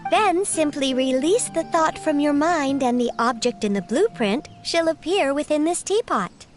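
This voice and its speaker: A genteel little spirit butler from a video game, speaking in a high, lilting voice. The phrasing is measured, formal and courteous, that of a proper caretaker, with music present behind it. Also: female voice, lively intonation. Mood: patient, instructive. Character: refined teapot spirit butler, prim, courteous caretaker of a realm. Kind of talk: scripted video game character dialogue.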